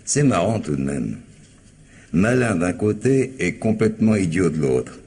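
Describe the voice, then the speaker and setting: An actor playing a gruff, streetwise and indignant man in a 1950s-style French radio crime drama, speaking in emphatic slang. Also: low-pitched, very animated, a little slow, darkish tone, heavily acted. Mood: amazed, musing. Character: gruff male witness with a streetwise, indignant temper. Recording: noisy old radio drama recording.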